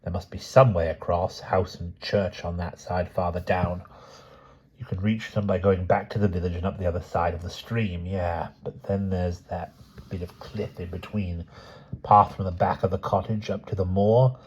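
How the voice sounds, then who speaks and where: A man's voice: low-pitched, flat delivery, moderate pace, slightly rough, dull audio. A man voicing a flustered, panicky middle-aged crook who mutters to himself.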